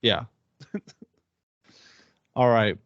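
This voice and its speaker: A man's voice: mid-range voice, extremely slow, dry recording, highs cut off. A man co-hosting a casual sports podcast, chatty and upbeat, often restarting his phrases. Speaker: podcast co-host.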